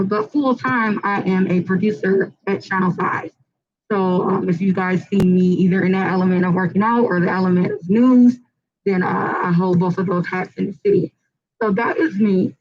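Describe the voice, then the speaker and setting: A woman with a hoarse, raspy voice hosts a live fitness panel in an upbeat, chatty way, with plenty of fillers. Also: medium pitch. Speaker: panel host and fitness trainer. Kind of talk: casual live panel moderation. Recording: dull lo-fi recording.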